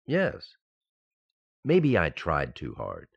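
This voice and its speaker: Young male office worker, radio comedy, played by an actor: very low voice, lively intonation, nervous and earnest. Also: drawn-out words, creaky, husky, no room sound, highs cut off, heavily acted. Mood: sheepish, earnest. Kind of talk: radio sitcom acting.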